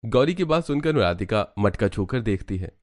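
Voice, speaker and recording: Low-voiced, animated male storyteller. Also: normal speed, faint music behind. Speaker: narrator. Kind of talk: scripted cartoon story narration in Hindi.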